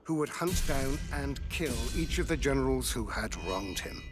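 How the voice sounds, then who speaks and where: An actor playing a grave Roman soldier who narrates a legend in a measured, solemn way for a game cutscene. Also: male voice, medium pitch, lively intonation, over music, close to an everyday voice.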